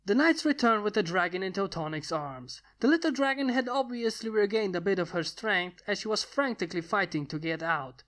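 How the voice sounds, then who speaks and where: A woman reading a fantasy story aloud as scripted, expressive narration. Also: medium pitch, a little fast, hardly any pauses. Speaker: fan fiction reader.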